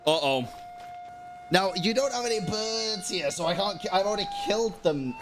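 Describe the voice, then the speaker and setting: A man's voice: high voice, animated, normal speed. A man giving casual, slangy live gameplay commentary as a streamer, reacting candidly. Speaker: video game streamer. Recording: game music behind the voice.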